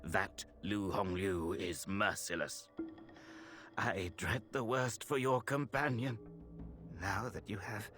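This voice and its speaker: A man playing a grave, compassionate, scholarly temple healer in a video game, with formal, thoughtful, measured delivery. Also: lowish voice, steady tone, over music. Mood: concerned, measured. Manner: solemn, formal, thoughtful, old-fashioned diction. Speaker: video game character voice actor. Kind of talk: scripted game dialogue, dramatic and measured.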